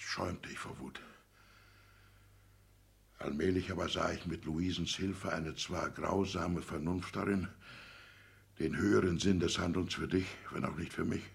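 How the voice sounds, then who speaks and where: A man's voice: low voice, gravelly, echoey room. An actor playing an indignant, anxious old petty-bourgeois father, a minor official, theatrically agitated in the style of 1960s German radio drama. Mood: bitter, resigned. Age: older. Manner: agitated, theatrical, swinging between outbursts and hushed lament.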